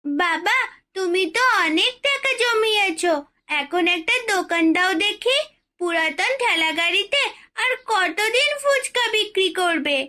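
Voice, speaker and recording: A woman's voice: very high voice, expressive, measured pace, bright, forceful tone, heavily acted. A woman voicing a sweet, eager young daughter in a cartoon. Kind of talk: cartoon voice acting.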